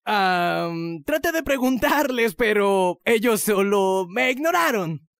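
A woman's voice: medium pitch, lively intonation, slow, dry recording, clean studio sound. A woman voicing a goofy, mischievous, dramatic young male ghost in an amateur cartoon dub, theatrical and wheedling, with a Latin American accent. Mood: fibbing. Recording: loud music behind fan dub.

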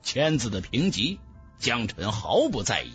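A man's voice: mid-range voice, wildly swooping pitch, measured pace, a bit bright, breathy edge, faint music behind. A man narrating a fantasy novel as a scripted audiobook, with emphatic, dramatic storytelling.

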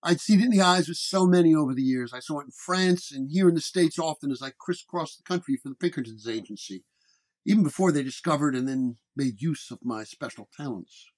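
Male actor as hard-boiled 1930s detective narrator, world-weary and terse, medium-high male voice, radio drama. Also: fairly quick, darkish tone, close to an everyday voice, low-quality recording. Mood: wary.

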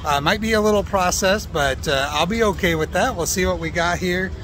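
Higher-voiced American man, casual outdoor vlog. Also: fairly quick, a bit bright. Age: middle-aged. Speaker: food review vlogger. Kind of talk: casual food review to camera. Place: outdoors at a fairground. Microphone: phone at arm's length. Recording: outdoor phone recording with ambient fairground noise.

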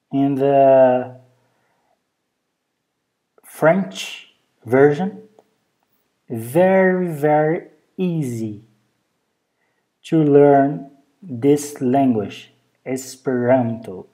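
Brazilian portuguese accent, non-native english, very slow delivery, mellow dark tone, halting and hesitant, home vlog on a handheld camera. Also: mid-range voice. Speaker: vlogger. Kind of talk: casual show-and-tell vlog. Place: indoors at home, over a bed.

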